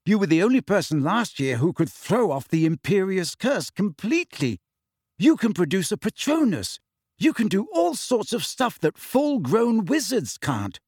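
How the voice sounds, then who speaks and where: Male reader doing a keen, bossy schoolgirl witch, raised pitch, dry close sound. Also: big pitch swings, moderate pace, a little mellow, husky, no echo, exaggerated voice. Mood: earnest. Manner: eager, lecturing. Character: earnest, bossy teenage girl student witch.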